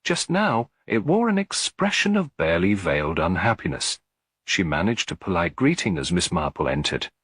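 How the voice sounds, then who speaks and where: A male narrator tells a story in a measured, literary way. His voice is low and a little husky, with an expressive rise and fall, recorded very dry. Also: dry recording. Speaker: audiobook narrator.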